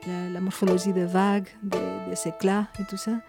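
A woman's voice: lowish voice, little pitch movement, loud music behind the voice. Spanish-accented woman in her fifties. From Spain. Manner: thoughtful, halting, many restarts. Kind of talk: reflective interview talk.

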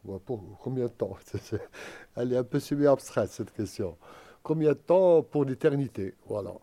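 A man's voice: mid-range voice, animated, moderate pace, mellow. A man giving unscripted answers in a group interview, hesitant and reflective as he searches for words. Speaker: interviewed guest.

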